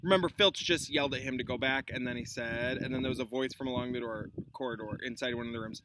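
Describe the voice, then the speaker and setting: A man's voice: mid-range voice, fairly even intonation, fast talker. A male teacher reading a storybook aloud to children outdoors, with informal explanatory asides. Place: outdoors in a chilly llama pasture. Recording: clearly noisy outdoor recording with clicks.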